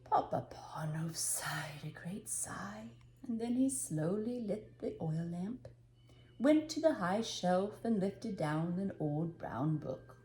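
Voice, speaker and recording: An older woman reading a children's storybook aloud, unhurried and expressive, with dramatic pauses. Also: fairly low pitch, slight background noise.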